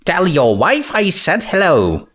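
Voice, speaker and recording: A man's voice: high-pitched, wildly swooping pitch, slow, a bit bright, voice pushed up, full character voice. A man voicing a bookish, awkward young female pony who speaks in an earnest, wordy way in a story reading. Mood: polite. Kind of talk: fan fiction character reading.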